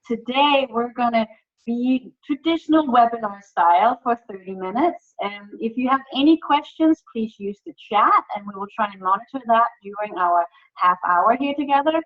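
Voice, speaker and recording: A woman's voice: fairly high pitch, moderate pace. A woman from Denmark, a workshop facilitator presenting a webinar over a video call, chatty and asking the audience questions. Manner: chatty, restarts often, lively questions to the audience. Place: home. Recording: slightly muffled video call audio.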